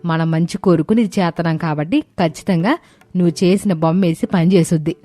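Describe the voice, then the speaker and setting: A woman's voice: lowish voice, fairly quick, dark tone, faint music behind, natural voice. A woman voicing a caring, devout elderly mother-in-law in a storybook tale, with assured, folksy village wording. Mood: reassuring, confiding. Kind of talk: dramatised storybook dialogue.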